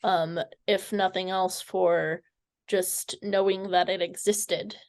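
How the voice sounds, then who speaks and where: A woman interviewing a guest in a casual, conversational way, tentative and halting as she forms her thoughts. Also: mid-range voice, level tone, a little mellow.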